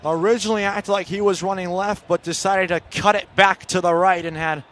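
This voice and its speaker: A man with a fairly high-pitched voice gives live radio play-by-play of college football. He describes the action in short, clipped phrases. His delivery is built to rise with the big plays. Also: steady tone, brisk, a bit bright.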